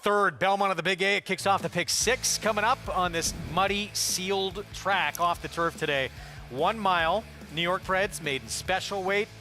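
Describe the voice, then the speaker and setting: A man hosting a horse racing broadcast, brisk and jokey between race calls. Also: sits high, sharp tone, soft background music. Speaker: racing show host.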